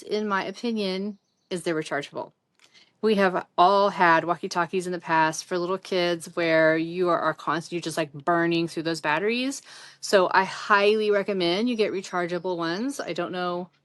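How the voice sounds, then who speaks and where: A woman's voice: lowish voice, steady tone, normal speed, fairly bright tone, no echo. A middle-aged woman giving a casual, chatty product review in a rambling, conversational, informal way.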